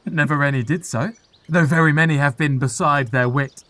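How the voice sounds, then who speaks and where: A man plays a flippant young lord in a Shakespearean radio play. His voice is slightly high and closely, dryly recorded. He banters in a jaunty, mocking way. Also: fairly even intonation, moderate pace, a bit bright, dry recording, lightly acted. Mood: bantering. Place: home recording.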